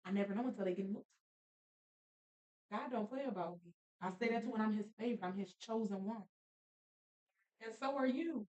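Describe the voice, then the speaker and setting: A woman hosting a casual faith podcast, animated and preachy, laughing often as she talks with friends. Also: medium pitch, a little fast, pauses often, low-quality recording. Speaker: podcast host.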